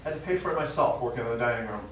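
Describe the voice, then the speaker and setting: A man's voice: medium pitch, expressive, very fast, a bit bright, natural voice. Man playing an old widower father, echoey stage. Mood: wry reminiscing. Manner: wry, rambling, reminiscing. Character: elderly Italian-American widower father, war veteran, stubborn reminiscing storyteller. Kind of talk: stage play acting. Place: small theatre stage, recorded from the audience. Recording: echoey small theatre, distant mic, faint noise.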